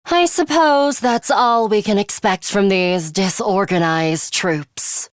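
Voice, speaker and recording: Video game role: composed, coolly ruthless young female student fighter, played by a woman, deliberate slow delivery, dry studio sound. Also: mid-range voice, no echo, natural voice. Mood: cool, reserved. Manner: measured, serious, deliberate. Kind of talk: English video game character voice lines.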